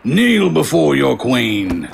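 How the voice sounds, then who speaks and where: A gruff prison guard gives orders in a heavily gravelled voice at a slow pace. It is audio drama acting, with clear noise and clicks around it. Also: male voice, medium pitch, huge pitch swings, heavily acted. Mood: commanding.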